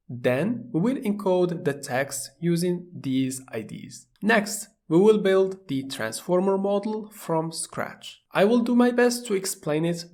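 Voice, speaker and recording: A man from Morocco, narrating a tutorial course in a plain, steady explaining manner. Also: a little high. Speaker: course instructor.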